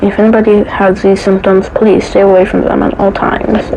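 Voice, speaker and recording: A woman reading a scripted emergency alert announcement in a halting, amateur read-out manner. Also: mid-range voice, noisy background. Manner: flat, halting read-out delivery with stumbles. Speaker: narrator of a homemade emergency alert scenario.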